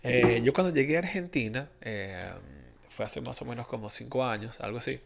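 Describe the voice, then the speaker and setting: Gravelly Venezuelan man hosting a podcast. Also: medium pitch, normal speed, heavy background noise. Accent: Venezuelan Spanish. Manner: rambling, many restarts and fillers. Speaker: podcast host. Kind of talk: casual solo podcast monologue.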